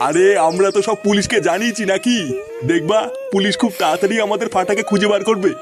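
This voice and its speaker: A man's voice: high voice, steady tone, fast, over music, close to an everyday voice. A man voicing a worried, earnest old grandfather in a comic cartoon. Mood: consoling. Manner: earnest, pleading, consoling. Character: worried, plaintive old grandfather of missing boy.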